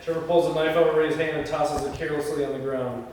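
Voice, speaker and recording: A man's voice: fairly high pitch, fairly even intonation, fast talker, darkish tone. A man at a film table read, reading out screenplay directions and talking casually with the crew. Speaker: stage-direction reader and film crew member. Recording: echoey hall, distant mic, faint noise.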